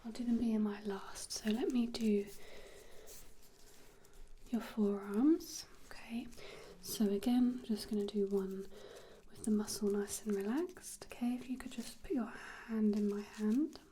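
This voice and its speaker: Slow, whispering woman in soft ASMR. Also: mid-range voice, steady tone, a little hiss or hum. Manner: gentle, unhurried, whispering. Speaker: ASMR role-play performer. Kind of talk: ASMR measuring-appointment role-play, whispered and soft-spoken.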